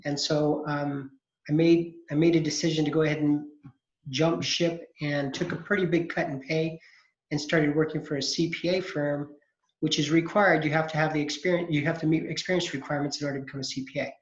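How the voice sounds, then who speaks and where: A man's voice: on the high side, fairly quick. Chatty man on an echoey call. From California, USA. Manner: relaxed and chatty, with frequent fillers. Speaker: college accounting instructor. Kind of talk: casual online class introduction. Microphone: computer mic on a video call. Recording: echoey, dull video-call audio.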